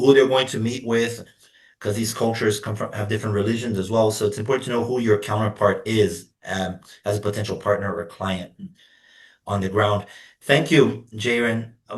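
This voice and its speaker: A man's voice: lowish voice, reverberant. A man hosting an interview, asking structured questions courteously. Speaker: interview host.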